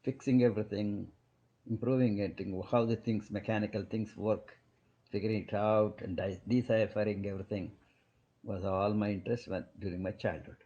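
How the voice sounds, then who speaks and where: An older man from India with an Indian accent, a doctor whose first language is Kannada, telling his life story in a halting, digressive way. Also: fairly low pitch, level tone, dark tone. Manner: halting, digressive, many restarts. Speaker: medical doctor guest. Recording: dull lo-fi recording.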